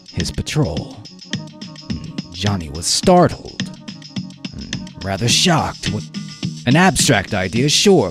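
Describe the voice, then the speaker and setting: A man narrates a radio drama with slow, measured theatricality. His fairly low voice rises and falls widely. A music score plays clearly behind him.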